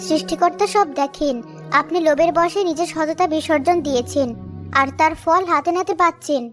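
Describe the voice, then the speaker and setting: A woman's voice: sits high, fairly quick, fairly bright tone, faint music behind. A woman voicing a scolding, moralizing shopkeeper's wife in a village cartoon. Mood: reproachful. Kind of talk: cartoon story voice acting.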